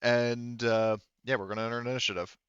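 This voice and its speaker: A man's voice: mid-range voice, fairly even intonation, fast, a bit bright, clean tone, dry recording, lo-fi sound. A man talking casually as game master of a tabletop game, narrating and going over rules in an informal, sweary way.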